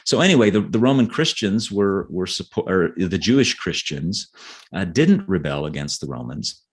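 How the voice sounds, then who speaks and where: Low-voiced man, earnest and explanatory. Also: big pitch swings, normal speed, slightly rough. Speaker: author being interviewed. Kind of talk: conversational podcast interview.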